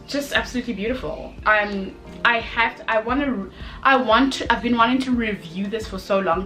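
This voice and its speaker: Chatty, expressive woman reviewing over music. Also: mid-range voice. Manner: expressive, emphatic, with short exclamations and repeated phrases. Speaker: book reviewer, video host. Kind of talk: casual chatty review to camera.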